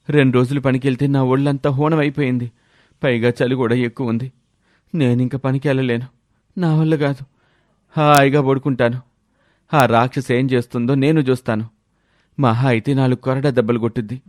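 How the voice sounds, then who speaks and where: A lazy villager from a moral tale, acted by a man. He whines and complains in a medium-pitched voice. The recording is dry, with no room around it. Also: fairly even intonation, normal speed, long pauses, a little mellow, dry recording, lightly acted. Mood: grumbling, defiant. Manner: whining, complaining. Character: lazy, whining villager man clinging to his blanket. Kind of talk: animated moral story voice acting.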